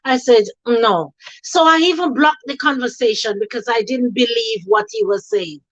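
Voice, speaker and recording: Brief: woman raised in England, Jamaican-born nurse, earnest storyteller, bit high, dull call sound. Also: expressive, moderate pace, creaky, fairly bright tone. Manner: earnest storytelling, slips into quoting others. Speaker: guest, nurse running a charity. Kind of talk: telling her story in an interview. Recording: lo-fi video call audio.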